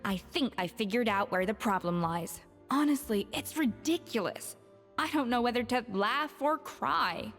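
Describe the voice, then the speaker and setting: A woman's voice: fairly high pitch, expressive, moderate pace, a bit bright, soft background music. A woman playing a strict, proud young perfectionist chef in an anime video game, speaking articulately and emphatically. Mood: exasperated.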